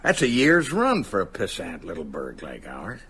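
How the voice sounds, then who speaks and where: An actor playing a folksy, wary small-town constable with an American accent and hesitant, colloquial talk in a radio drama. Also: male voice, low voice, huge pitch swings, normal speed, gravelly, a bit bright, husky, exaggerated voice. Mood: wary, suspicious.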